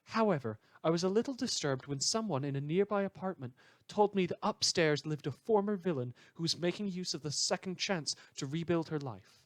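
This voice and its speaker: A man acts out an opinionated letter writer for a radio drama, pitched well up and very emphatic. The delivery is fussy, chatty and declamatory, in a dry, close sound. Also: expressive, brisk, no echo, close to an everyday voice. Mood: chatty storytelling. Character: opinionated, indignant, chatty radio listener writing in.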